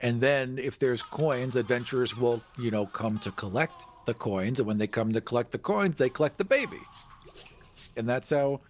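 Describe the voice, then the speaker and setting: A man's voice: mid-range voice, normal speed, slight background noise. A man co-hosting a podcast, chatting casually and conversationally.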